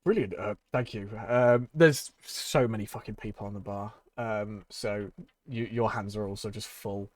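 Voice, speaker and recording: Laughing game master. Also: male voice, on the low side, moderate pace, dry recording. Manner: laughs often, many ums and restarts. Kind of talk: running a tabletop game.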